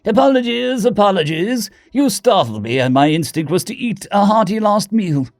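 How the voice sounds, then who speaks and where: A man's voice: high voice, animated, moderate pace, no echo, clean studio sound, voice pushed up. A man voicing a pompous, bumbling, jolly space hamster diplomat with a posh upper-class British accent. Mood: flustered, curious. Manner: pompous, effusive, chuckling, muddled.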